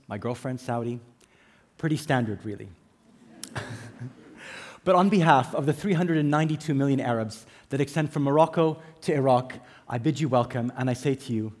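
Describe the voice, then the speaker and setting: Husky man with an American accent. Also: mid-range voice, darkish tone. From England. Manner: measured, emphatic delivery with dramatic pauses and lists. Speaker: conference speaker. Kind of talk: prepared conference talk.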